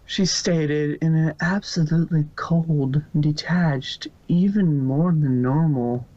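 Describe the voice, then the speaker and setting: A man's voice: a little high, slow, mellow, no room sound. Male story narrator, muffled dry sound. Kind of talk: scripted story narration of fan fiction.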